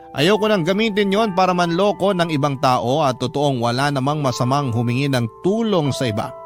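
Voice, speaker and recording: A man with a Filipino accent narrating a first-person dramatic radio story as the storyteller. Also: mid-range voice. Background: music.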